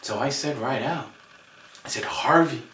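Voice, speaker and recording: A man performing a stage monologue as a gentle, dreamy, friendly bar-goer who speaks haltingly and rambles. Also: mid-range voice, lightly acted. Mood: friendly, rambling. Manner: hesitant, rambling, halting with repeated words. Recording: echoey room, distant microphone.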